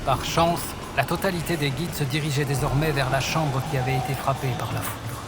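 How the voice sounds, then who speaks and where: A man's voice: medium pitch, steady tone, fairly quick, loud music behind the voice. A man narrating a scripted French fantasy audio fiction as its storyteller.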